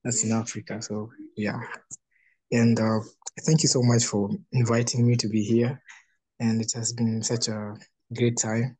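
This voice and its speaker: Hesitant husky man from Kenya. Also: medium pitch, little pitch movement, a little mellow, poor audio. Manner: hesitant, halting with fillers. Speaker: online prayer meeting participant. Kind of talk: casual thanks in a video call. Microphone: video call.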